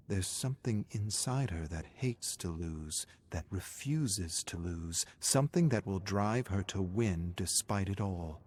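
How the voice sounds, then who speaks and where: A man's voice: low voice, darkish tone, slight background noise. A man narrating a scripted dramatic story as its narrator.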